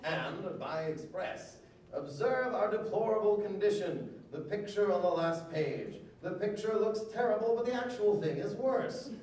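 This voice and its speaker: High-pitched theatrical man in an echoey hall. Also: unhurried, a little mellow. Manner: melodramatic, mock-pleading, gesturing. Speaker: reader at a live literary reading. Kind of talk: theatrical comic reading of old newspaper satire. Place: museum lecture hall with live audience. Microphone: stand microphone on stage, distant camera recording. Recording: very echoey hall, distant, audience noise.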